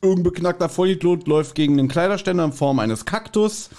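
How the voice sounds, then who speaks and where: Higher-pitched German man, teasing podcast co-host. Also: fast, darkish tone. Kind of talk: casual chat, recapping an audio drama.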